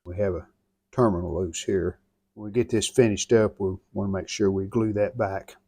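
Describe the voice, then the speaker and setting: American man, lowish pitch, heavy vocal fry, folksy hands-on repair narration, plainspoken, thinking aloud, dry close recording. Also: fairly even intonation, moderate pace, warm tone, no room sound. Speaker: host of a radio restoration channel.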